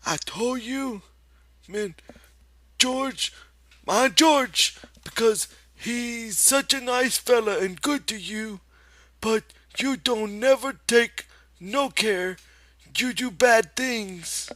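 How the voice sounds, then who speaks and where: A man's voice: very high voice, slow, pushed and bright, dry recording, voice pushed up, heavily acted. A man voicing a disapproving, nagging old aunt with folksy rural speech in an audiobook. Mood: scolding. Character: imagined fat old woman, scolding, disapproving aunt.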